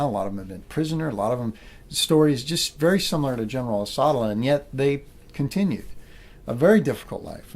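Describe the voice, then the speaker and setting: A middle-aged American man speaks to a room as a military aviator sharing his experiences. His voice has a gravelly creak at an ordinary male pitch. He tells anecdotes in an animated way, voicing both sides of exchanges. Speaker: military officer and helicopter pilot giving a talk. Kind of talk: anecdotal public talk to a room.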